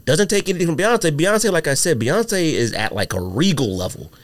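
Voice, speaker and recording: A man hosting a casual podcast chat, telling stories with lots of fillers in an animated, drawn-out way. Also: on the high side, no echo. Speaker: podcast host.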